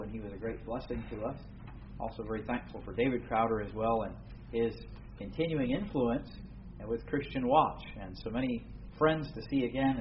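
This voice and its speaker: Earnest American man preaching a sermon. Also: medium pitch. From United States. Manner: earnest, formal, with frequent pauses and fillers. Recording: dull lo-fi recording with faint room noise.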